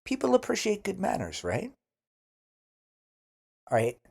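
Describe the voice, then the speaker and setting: A man teaching an English lesson, speaking deliberately and enunciating carefully as he gives model phrases for learners to repeat. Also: high-pitched, wildly swooping pitch, vocal fry, a little mellow, husky.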